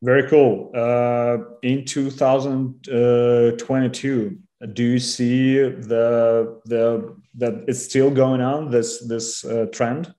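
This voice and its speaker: A man's voice: medium pitch, little pitch movement, slow, few pauses, dark tone. A man in his thirties hosting a video call interview, asking short hesitant questions with repeated words. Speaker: host. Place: room at a desk. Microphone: laptop on video call. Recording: roomy echo.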